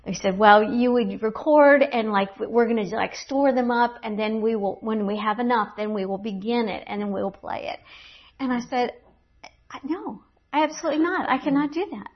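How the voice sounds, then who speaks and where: A woman's voice: on the high side, moderate pace. A middle-aged woman who teaches the Bible to women, sharing her faith testimony in an earnest, reflective way with many restarts. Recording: dull lo-fi recording.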